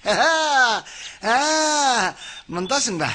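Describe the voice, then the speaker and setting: A man playing an excitable, gullible village man in a cartoon, loud and exclamatory, with drawn-out complaints. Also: extremely high pitch, full character voice. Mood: distressed, complaining. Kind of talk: cartoon character acting. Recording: music under the voice.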